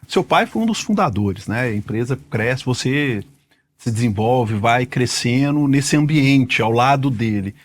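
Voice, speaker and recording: A man in his forties hosts a podcast and leads the interview with eager, emphatic phrasing. His voice sits in a middling pitch for a man. He frames his questions warmly and keeps the exchange moving. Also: animated, measured pace. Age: 40s. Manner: enthusiastic, emphatic introductions. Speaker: podcast host.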